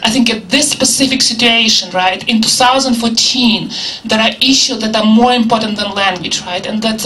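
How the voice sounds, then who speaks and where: A woman's voice: a little high, a bit bright. A woman whose first language is Ukrainian, speaking on a discussion panel in English. She talks earnestly and searchingly, with many restarts and "right?" asides. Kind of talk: unscripted talk at a panel discussion. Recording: distant mic with faint room noise.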